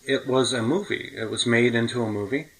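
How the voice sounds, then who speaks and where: A man's voice: mid-range voice, fairly quick, a bit bright, low-quality recording. A middle-aged man with an American accent, hosting a podcast in casual, reminiscing chat. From United States. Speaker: podcast host.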